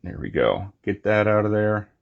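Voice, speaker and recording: A man giving a casual, unscripted hands-on toy review, talking in short, halting phrases on a slightly muffled recording. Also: on the low side, sing-song, gravelly. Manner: halting, short phrases while handling objects.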